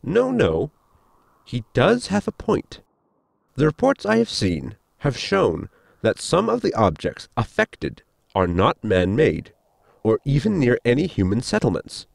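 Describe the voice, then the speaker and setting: A man's voice: mid-range voice, wildly swooping pitch, vocal fry, no room sound, clean studio sound, full character voice. An actor playing a polite, precise spaceship science crewman in a comedy audio drama, speaking formally and earnestly. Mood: earnest, explanatory. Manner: formal, measured, explanatory.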